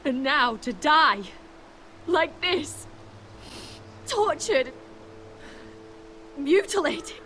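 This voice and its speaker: A woman playing a grieving young noblewoman in a fantasy video game, speaking in a raw, emotional, halting way. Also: sits high, animated, sharp tone, a little hiss or hum, exaggerated voice. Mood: grieving, bitter. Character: grieving young noblewoman mourning her murdered brother.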